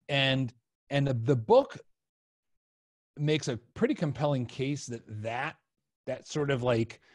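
American man hosting, mid-pitched voice. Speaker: podcast host. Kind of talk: casual discussion. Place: home room with sofa, video call. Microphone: desk microphone with headset.

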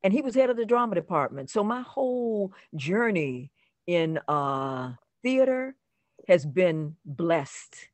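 An older woman from North Carolina telling personal anecdotes casually, in a halting way. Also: fairly low pitch, sing-song, no room sound. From North Carolina, United States. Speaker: guest actress. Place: room at home, on a video call.

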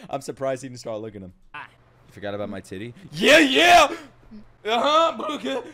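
A young man giving casual, excitable commentary as he reacts to an anime, swearing freely and breaking into shouts and laughter. He talks into a boom-mounted desk mic at home. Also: moderate pace. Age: young adult. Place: home streaming room. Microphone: boom-mounted desk mic, wearing headphones.

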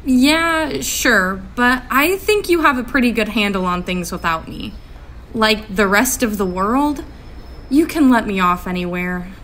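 A woman's voice: medium pitch, expressive, measured pace, fairly bright tone, slight background noise. A woman playing a despairing, guarded young woman in a comic book dub, sardonic and incredulous. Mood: guarded, reluctant. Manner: exasperated, incredulous.

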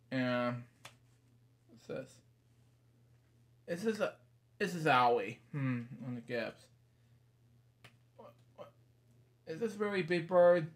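A man in his thirties reading a picture book aloud in a small bedroom, rambling with casual asides and stock phrases. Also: mid-range voice.

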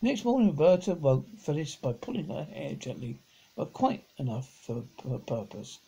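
A middle-aged man reads a children's story aloud, stumbling over words and rereading them, in a husky, gravelly voice. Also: on the high side, big pitch swings, normal speed, warm tone. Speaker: amateur audiobook reader. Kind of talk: reading a children's novel aloud. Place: living room at home, on a sofa.

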